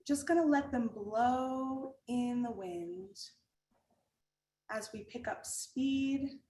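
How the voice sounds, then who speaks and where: A woman leading a guided meditation in a calm, gentle manner, giving unhurried instructions with long pauses. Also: fairly high pitch. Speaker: meditation guide and art teacher. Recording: roomy echo with music underneath.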